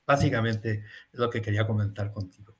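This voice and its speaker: A man's voice: on the low side, fairly quick. A man hosting a book interview, speaking casually and checking in with tag questions. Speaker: interviewer host.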